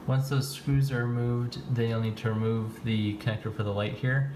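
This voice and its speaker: Flat-toned man, casual DIY walkthrough. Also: medium pitch, moderate pace, slight background noise. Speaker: DIY car video host. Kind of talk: casual hands-on tutorial walkthrough.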